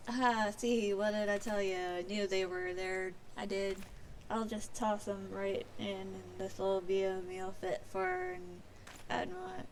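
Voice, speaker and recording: A woman's voice: medium pitch, unhurried, slight background noise, natural voice. A woman voicing a rustic, complaining seaside cook from a fantasy game, with folksy exclamations. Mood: pleased, boastful. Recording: music behind the voice.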